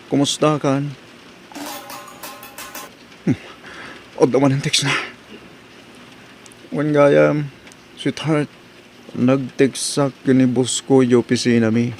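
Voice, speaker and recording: A man's voice: mid-range voice, darkish tone, poor audio. A man playing a worried, bewildered husband in an Ilocano radio drama, speaking hesitantly as if thinking aloud. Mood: puzzled, musing. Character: worried, bewildered husband confiding in his mother.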